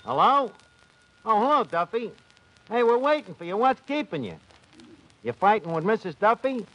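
An actor playing a brash tavern manager who wisecracks with ungrammatical street talk, in 1940s radio comedy style. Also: male voice, fairly high pitch, fairly bright tone, lo-fi sound. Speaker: host of the show. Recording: noisy 1940s radio broadcast.

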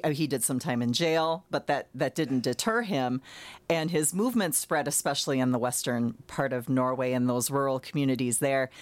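Low-voiced woman explaining history. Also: no echo. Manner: knowledgeable, measured, self-qualifying. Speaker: radio show expert guest on history. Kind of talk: explaining history on call-in radio.

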